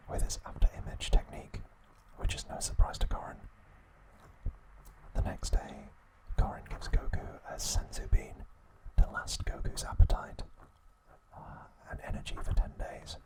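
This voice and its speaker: A man hosting ASMR trivia, speaking softly in short, unhurried phrases with gentle pauses. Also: poor audio. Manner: unhurried, soft, pausing between short phrases. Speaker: ASMR host. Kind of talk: whispered ASMR trivia narration.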